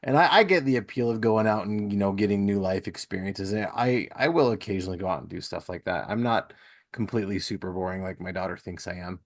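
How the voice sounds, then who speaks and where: Low-voiced man chatting casually. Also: fast talker. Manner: rambling, self-deprecating, slangy. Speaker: podcast host. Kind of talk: casual chat.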